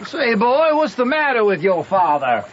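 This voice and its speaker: A man's voice: extremely high pitch, very animated, moderate pace. A man playing a suspicious, impatient armed slave-hunter, barking questions in an old-time radio drama. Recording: dull, slightly noisy old radio recording.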